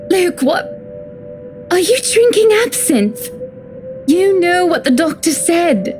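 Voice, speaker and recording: Visual novel wife: high voice, honeyed and poised, with a stern edge, faint music behind. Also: female voice, big pitch swings, takes it slowly, a little mellow, heavily acted. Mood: exasperated scolding. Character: refined, sweet-talking woman, a stern, bossy wife.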